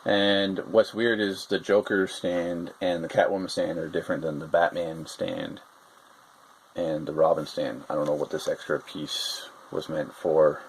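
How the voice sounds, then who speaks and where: A man's voice: low voice, flat delivery, measured pace, a little hiss or hum, low-quality recording. A man hosting a casual toy review, talking in a rambling, hesitant way with lots of fillers.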